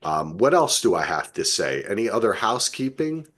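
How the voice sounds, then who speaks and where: A man's voice: fairly low pitch, big pitch swings, normal speed, a bit bright. A man hosting a podcast, chatting casually and with enthusiasm. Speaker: podcast host. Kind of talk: casual chat.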